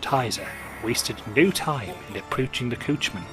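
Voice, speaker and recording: Gentleman narrator, theatrical and measured, over steady music. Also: mid-range voice. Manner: theatrical, genteel, measured storytelling. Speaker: storyteller host. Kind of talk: scripted narration. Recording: music behind.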